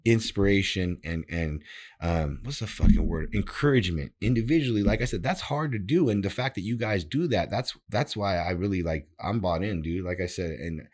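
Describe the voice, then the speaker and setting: A man's voice: deep voice, lively intonation, moderate pace, a little mellow. A man hosting a podcast, talking in a casual, emphatic way with lots of swearing and repeated words. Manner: profane, emphatic, repeats himself. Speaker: podcast host. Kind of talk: casual interview chat.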